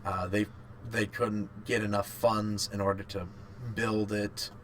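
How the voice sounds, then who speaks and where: A man co-hosting a podcast in casual chat, rambling and haltingly repeating his phrases. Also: lowish voice, little pitch movement, a little hiss or hum. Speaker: podcast co-host. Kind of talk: casual chat about video games.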